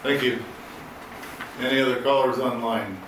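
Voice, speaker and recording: A man's voice: mid-range voice, steady tone, normal speed. A man chairing a public meeting, brief and procedural. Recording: very echoey hall, far from mic, noisy.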